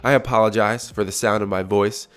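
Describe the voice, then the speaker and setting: A young man talks alone into his podcast mic, his head cold making his low voice sound deep and resonant. He speaks in a relaxed, reflective way and often restarts words as he gathers his thoughts. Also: a little fast. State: congested with a cold. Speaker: podcast host. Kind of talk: solo podcast monologue. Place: home recording room.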